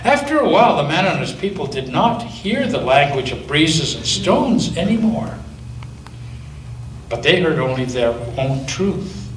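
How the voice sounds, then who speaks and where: A male pastor reading a picture book aloud to children, gently and with slow dramatic pauses. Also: on the high side, very animated. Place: church sanctuary. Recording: echoey church from a distance, faint noise.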